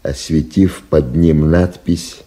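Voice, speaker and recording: A stern, ruthless general in a stage play recording. The voice is husky and very low, with a dark tone and a slow, heavy delivery that gives harsh orders without hesitation. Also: male voice, steady tone, heavily acted. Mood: commanding. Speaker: actor in an audio play.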